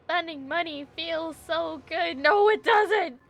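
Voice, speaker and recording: A woman's voice: extremely high pitch, slow pace, fairly bright tone. A woman livestreaming a game with casual commentary, wry and given to exaggerated reactions. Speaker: live streamer playing a game.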